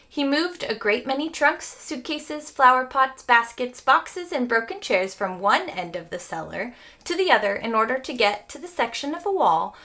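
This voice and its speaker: A woman teacher reading a children's story aloud expressively, as if to young students. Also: on the high side. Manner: expressive, explains phrases to young listeners.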